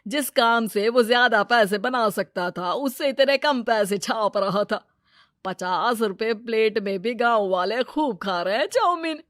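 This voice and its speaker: A woman's voice: on the high side, animated, a little slow, fairly bright tone, no echo, studio quality. Woman voicing a brusque, greedy village moneylender man. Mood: gloating, scheming. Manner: brusque, exclamatory, scheming. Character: greedy, scheming middle-aged male village moneylender. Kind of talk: Hindi cartoon moral-story dialogue.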